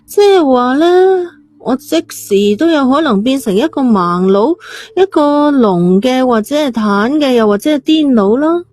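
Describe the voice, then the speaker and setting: A woman's voice: high voice, animated, a little slow, darkish tone, no room sound. A woman playing a defiant, flippant young woman with a Hong Kong Cantonese accent, cheeky and nonchalant in an audio drama. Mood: sardonic resignation.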